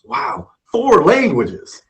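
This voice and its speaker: Playful, lively male interview host. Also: extremely high pitch, very slow, gravelly. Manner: upbeat, playful, jokey. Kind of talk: casual interview.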